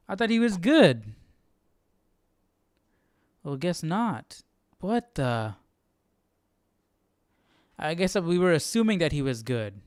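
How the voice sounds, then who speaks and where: A man's voice: on the high side, dry recording, studio quality. A man hosting a casual Bible study livestream, commenting informally and thinking aloud. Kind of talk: casual live reading commentary.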